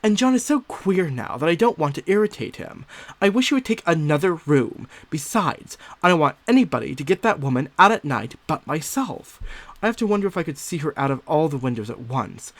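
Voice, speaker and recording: A man playing an unsettled, secretive nineteenth-century woman diarist growing obsessed, in a theatrical, confiding dramatic monologue with drawn-out emphasis. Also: a little high, big pitch swings, no room sound. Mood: conspiratorial, obsessed. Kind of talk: dramatic first-person monologue, audio theatre.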